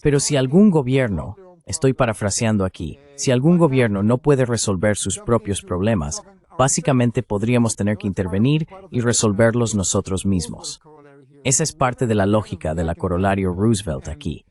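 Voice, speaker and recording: A man's voice: on the low side, lively intonation, normal speed, darkish tone, natural voice. A man giving a translated voice-over of an interview guest's political analysis.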